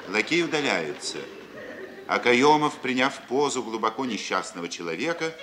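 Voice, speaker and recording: Male narrator for a vintage radio drama, medium pitch, animated intonation, reading stage directions. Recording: noisy vintage 1950s radio recording.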